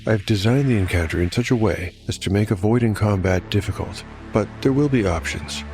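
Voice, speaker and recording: A man narrating scripted dark fantasy as game master, in a measured, solemn and carefully enunciated manner. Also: fairly low pitch, faint music behind.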